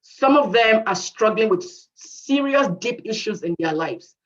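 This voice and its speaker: A woman's voice: fairly high pitch, lively intonation, unhurried. A woman with an accent, giving earnest advice to young people as a guest speaker on a video call, often repeating her phrases. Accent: non-native English. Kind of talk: advice talk to youth. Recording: distant, muffled video-call audio.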